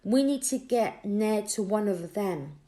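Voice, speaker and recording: Woman as an earnest, lively young schoolchild. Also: medium pitch, a little slow, lightly acted. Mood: explaining. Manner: earnest, explaining, urging. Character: young child narrator, bold and earnest friend. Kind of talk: children's audiobook character dialogue.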